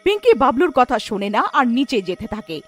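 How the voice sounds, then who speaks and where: Lady narrator doing a scary cartoon story, high voice, really lively and dramatic, music under it. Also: fairly quick. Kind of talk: scripted storybook narration of a horror tale.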